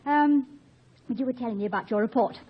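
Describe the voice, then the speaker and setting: Husky, coaxing wife. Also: female voice, a little high, fast. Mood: coaxing, light. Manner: gentle, coaxing, tactful. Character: devoted, reassuring wife of a college president. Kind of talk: 1950 radio comedy acting. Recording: muffled old radio recording.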